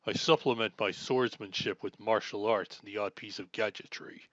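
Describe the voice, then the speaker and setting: A man's voice: on the low side, steady tone, normal speed, fairly bright tone, no echo, lo-fi sound, lightly acted. A man playing an earnest, chivalrous armored superhero swordsman in an audio roleplay, speaking in formal, archaic, self-assured phrases. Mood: confident, reassuring. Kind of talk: scripted audio roleplay acting.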